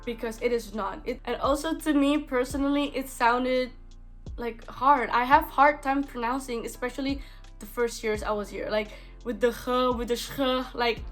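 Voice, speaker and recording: A woman's voice: fairly high pitch, fairly even intonation, normal speed, faint music behind. Young Indonesian woman chatting to camera. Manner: chatty, many "like" fillers and restarts. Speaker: vlogger. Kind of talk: casual talk to camera. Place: small bedroom indoors.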